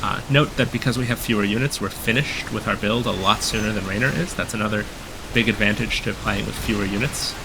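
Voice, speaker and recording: A man calmly explaining a game strategy while he plays, a little hoarse from a cold, with many filler ums. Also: lowish voice, a little fast, noisy background. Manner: relaxed, explanatory, frequent ums. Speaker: gameplay guide commentator. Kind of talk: casual gameplay commentary.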